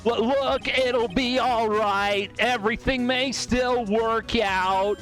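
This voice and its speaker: A man's voice: very high-pitched, steady tone, slow, fairly bright tone, soft background music, pitched above the performer's own voice, full character voice. A man doing an earnest young lawyer. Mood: soothing.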